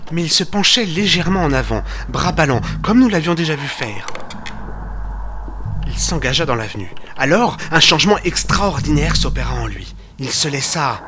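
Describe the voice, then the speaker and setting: A man playing an earnest, wry detective's companion who narrates an audio drama in measured, literary storytelling. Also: a little high, background noise. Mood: suspenseful. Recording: music under the voice. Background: music.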